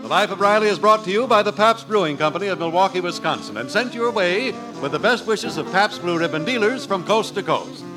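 A man's voice: high voice, lively intonation, brisk, hardly any pauses, poor audio. A man with an American accent announcing and selling on 1940s radio in a hearty, emphatic style. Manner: hearty, emphatic sales delivery. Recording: vintage radio broadcast recording. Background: music.

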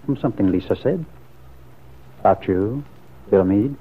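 Husky, swooping suspicious radio lover. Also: male voice, fairly low pitch, animated, normal speed. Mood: wounded. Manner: probing, hesitant, earnest. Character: suspicious, brooding lover pressing for the truth. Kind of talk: classic radio soap opera acting. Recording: muffled lo-fi vintage radio recording.

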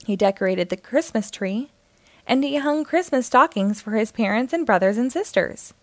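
A woman's voice: fairly high pitch, animated, moderate pace, dry recording. A woman narrating a storybook aloud for young children.

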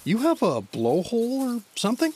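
Husky, goofy adventurer dad. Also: male voice, very high-pitched, wildly swooping pitch, normal speed, a little mellow, heavily acted. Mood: awkwardly curious. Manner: bumbling, rambling, chummy. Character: goofy, awkward, exasperated father-figure adventurer. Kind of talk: comedic fantasy audio drama acting.

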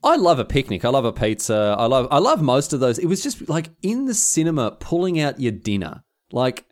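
A man's voice: mid-range voice, huge pitch swings, fairly quick. An Australian man hosting a podcast, telling stories in casual comic chat and repeating phrases for emphasis. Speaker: podcast host.

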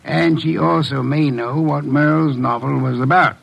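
A very gravelly, husky detective boss from an old American radio mystery. He is shrewd and imperious, speaking deliberately in a fairly high male register. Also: steady tone, lo-fi sound. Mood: brusque, calculating. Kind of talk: classic American radio drama acting.

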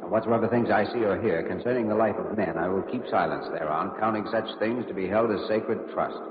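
A thin, tinny vintage radio recording with a long echo carries a man acting a young doctor. His voice is low, calm and earnest, with a wry streak, in classic 1940s radio drama style. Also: fairly quick, lightly acted. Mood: solemn.

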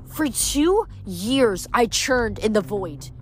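A woman's voice: a little high, sing-song, slow. A woman voicing a vengeful female vampire demon leader in a fantasy story, taunting and snappish, with music behind her. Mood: bitter menace.

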